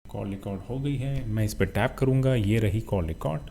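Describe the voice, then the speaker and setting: A man's voice: on the low side, fairly quick, vocal fry, warm tone, breathy edge. A man with a Pakistani accent narrating a casual phone review as a channel host, often using filler phrases and mixing in English tech terms.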